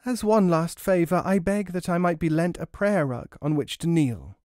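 A man's voice: on the high side, moderate pace, a little mellow, no echo, voice pushed up, close to an everyday voice. Casting: man as crafty old peasant woman for a folk tale, brazen and wheedling, dry sound. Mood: falsely humble. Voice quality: wheezy, mumbling at times. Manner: sly, sometimes falsely pious and humble.

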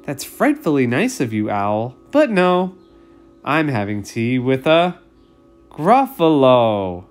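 A man's voice: mid-range voice, very animated, takes it slowly, faint music behind. A man voicing a small, clever mouse in a storybook read-aloud, speaking boldly and drawing out key words.

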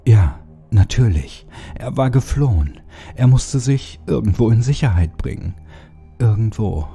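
A man narrating a horror story as an audiobook, in a deliberate, brooding and dramatic delivery with pauses. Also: on the low side, expressive, vocal fry, warm tone, faint music behind.

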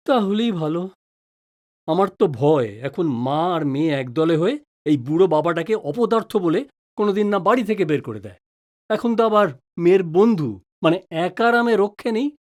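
A woman's voice: on the low side, lively intonation, brisk, darkish tone, natural voice. A woman playing a wary, joking middle-aged father in an audio drama, with a teasing, exclamatory manner. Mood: teasing grumble. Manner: teasing, mock-pompous, exclamatory.

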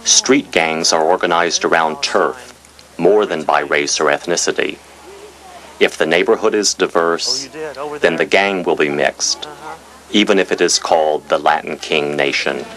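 Male narrator, low register, measured scripted read. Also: fairly bright tone, rough recording. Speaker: documentary narrator.

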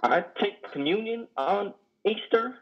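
Guy doing a troubled trucker, raspy highish voice, muffled video call, stage play. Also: expressive, unhurried, exaggerated voice. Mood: anxious. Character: troubled, needy young male trucker seeking forgiveness. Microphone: video call with headset. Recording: dull video-call audio.

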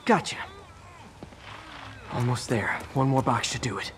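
An earnest young American superhero played by a man in a video game, quick-witted and conversational. His pitch sits in the middle range, with clear noise of game effects behind him. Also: natural voice. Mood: focused.

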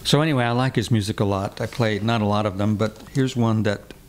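An older man, a guest musician, telling relaxed stories with dry puns in a living room. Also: on the low side, brisk, a little hiss or hum. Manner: relaxed, wry, punning. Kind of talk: conversational storytelling.

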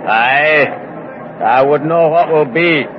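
Slow, stubborn medieval peasant. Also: male voice, a little high, a crowd in the background. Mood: guarded. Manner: terse, cagey, archaic rustic phrasing. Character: stubborn, wary medieval peasant farmer from Northumberland. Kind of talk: 1950s radio drama acting. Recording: dull, noisy vintage radio recording.

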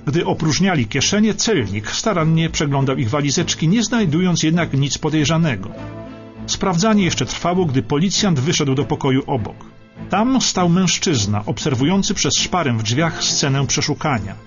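A man narrates a dramatic scripted documentary for radio. His voice is on the higher side and swings widely in pitch, with emphatic, suspenseful phrasing. The sound is slightly dull, with music behind. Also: lively intonation, moderate pace.

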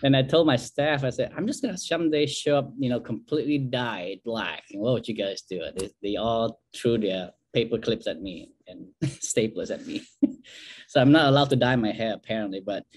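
A man's voice: mid-range voice, normal speed, slight background noise. Chatty man from Malaysia joking around. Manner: chatty and joking, laughs often. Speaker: guest speaker, university dean. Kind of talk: casual, self-deprecating presentation. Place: home office with plants. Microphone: video call.

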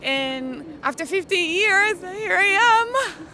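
Lively woman, high and bright. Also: slow, a faint crowd. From Brazil. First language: Portuguese. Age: 20s. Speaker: interviewee. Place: outdoors on a busy city street at night. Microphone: handheld mic.

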